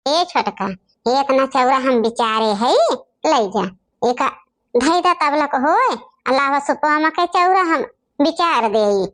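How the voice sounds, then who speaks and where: A woman's voice: sits high, animated, unhurried, fairly bright tone. A woman voicing bickering village sisters-in-law in an Awadhi family comedy cartoon, with an Awadhi accent and a complaining singsong manner. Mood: busy, practical.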